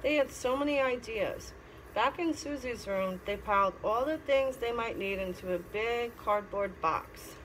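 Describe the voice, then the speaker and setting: A middle-aged woman reads a picture book aloud as a teacher, pausing to explain and ask simple questions. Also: fairly high pitch, fairly bright tone, rough recording. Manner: instructive, asking simple questions. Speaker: teacher reading to children. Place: classroom. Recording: clear background noise.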